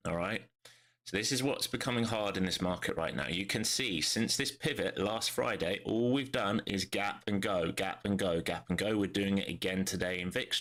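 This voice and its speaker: A man with a nasal, bunged-up voice from a cold, giving brisk live commentary on the markets and thinking aloud, often tagging his lines with "all right?". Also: deep voice, a bit bright. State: congested with a cold. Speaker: day trader streaming live market analysis.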